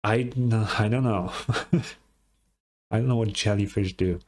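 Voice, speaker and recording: A man's voice: on the low side, slow, a little mellow. Casual man streaming a game. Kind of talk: casual live reaction commentary.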